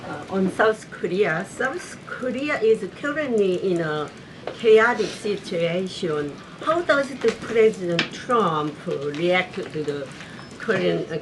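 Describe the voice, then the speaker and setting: A woman working as a reporter, asking press questions with halting, non-native phrasing. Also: on the low side. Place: indoor press briefing room. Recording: noisy live briefing room.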